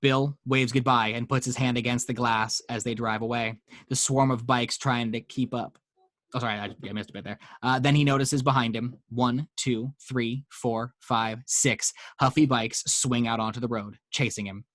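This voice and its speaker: A man's voice: medium pitch, steady tone, moderate pace, fairly bright tone, no room sound. A man reading action descriptions as narrator in a dramatic screenplay table read. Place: at home.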